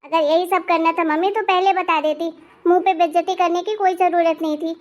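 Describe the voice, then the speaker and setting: A woman voicing a hot-tempered, demanding young married daughter in an animated family drama, with a sharp-tongued way of talking. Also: very high voice, a little fast. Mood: angry, indignant.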